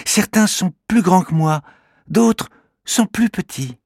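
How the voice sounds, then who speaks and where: Man doing a tiny earnest storybook creature, high, husky, slow. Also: expressive, no room sound, studio quality, full character voice. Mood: indignant. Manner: sincere, gently indignant. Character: little green living creature, small, earnest. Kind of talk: children's storybook reading.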